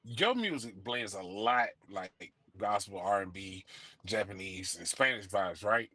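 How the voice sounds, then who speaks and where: A man's voice: fairly low pitch, animated, measured pace, bright, forceful tone, no room sound. A man hosting a podcast, asking a guest laid-back interview questions. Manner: laid-back, conversational filler. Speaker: podcast host.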